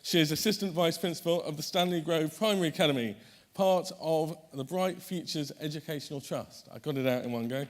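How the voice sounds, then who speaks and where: A man's voice: fairly high pitch, fairly quick, hardly any pauses. A man chairing a conference panel, introducing the speakers in a chatty way, correcting himself often and making light jokes.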